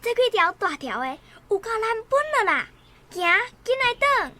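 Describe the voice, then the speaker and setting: Very high, bright, cheeky child. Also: female voice, sing-song, moderate pace, a little hiss or hum, full character voice. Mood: sly. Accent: Taiwanese. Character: cheeky, mischievous small child, sly and quick to whine. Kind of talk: radio drama acting. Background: faint music.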